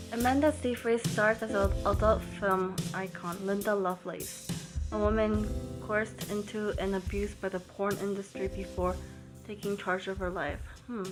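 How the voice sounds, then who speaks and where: Woman vlogging at home, rambly, says like a lot, loud music playing under her voice. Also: mid-range voice, sing-song, measured pace. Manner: rambling, full of "like" fillers. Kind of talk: casual chat to camera. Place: at home indoors.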